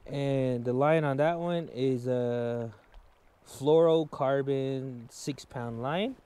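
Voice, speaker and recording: A man's voice: mid-range voice, takes it slowly, mellow, clear, resonant tone. A man vlogging while fishing in a river, casually explaining his setup step by step with plenty of fillers. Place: outdoors standing in a river.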